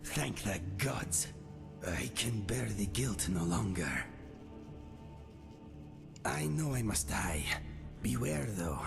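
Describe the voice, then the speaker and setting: A solemn, gravelly cat warrior weighed down by guilt and honour. He speaks very slowly, with dramatic rises and falls, in fantasy game style over prominent music. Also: lowish voice, big pitch swings, full character voice. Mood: solemn remorse. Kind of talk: scripted fantasy game dialogue.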